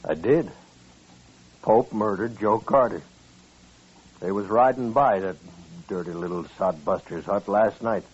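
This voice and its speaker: An American man playing a bitter, vengeful rancher in an old-time radio western, with a husky voice and blunt, folksy speech. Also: fairly low pitch, unhurried, long pauses, darkish tone. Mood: blunt, insistent. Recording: muffled old radio recording.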